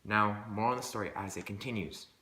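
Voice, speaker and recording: Male amateur newsreader, lowish bright voice, scripted bulletin delivery, recorded in an echoey room. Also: brisk. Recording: echoey room with faint background noise.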